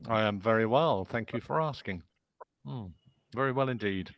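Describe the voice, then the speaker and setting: A man tutoring English on an online call, explaining patiently and slowly. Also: lowish voice, no echo. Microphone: video call.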